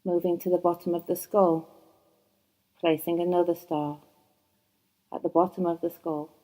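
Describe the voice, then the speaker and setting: A woman teaching yoga nidra, guiding a relaxation meditation in a soft, soothing voice, slow and unhurried with long pauses. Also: lowish voice, little pitch movement, low-quality recording. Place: yoga training course.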